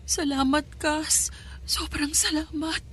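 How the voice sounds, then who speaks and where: An actress playing a gentle, downtrodden young housemaid in a Filipino radio drama, with a soft, emotional, pleading delivery. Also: female voice, high voice, fairly even intonation, slow. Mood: tearful.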